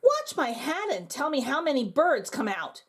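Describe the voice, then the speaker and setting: A woman's voice: sits high, wildly swooping pitch, normal speed, exaggerated voice. A woman voicing a clumsy, bumbling male stage magician in a showy, flustered manner.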